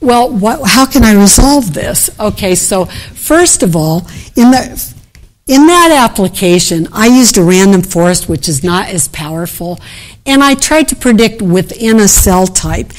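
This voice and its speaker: A woman's voice: mid-range voice, lively intonation, a little slow. A middle-aged woman giving an unscripted academic keynote, thinking aloud over her slides and often restarting sentences. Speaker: keynote speaker. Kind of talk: academic conference talk.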